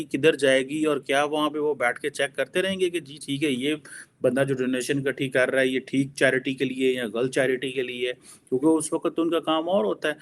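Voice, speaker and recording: A man with a Pakistani accent explains his opinions as a political analyst in informal commentary, mixing in English phrases. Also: fairly high pitch.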